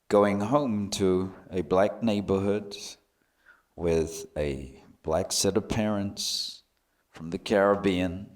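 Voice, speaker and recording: A British man speaking as a panelist, telling his personal story to an audience in a halting, reflective way. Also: low voice. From Britain.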